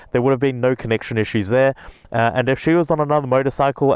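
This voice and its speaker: A man's voice: mid-range voice, smooth, no room sound. A man giving casual, chatty vlog commentary as a motorcycle gear reviewer, speaking from inside a helmet out on the road. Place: outdoors on a motorcycle on public roads. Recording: loud engine and wind noise.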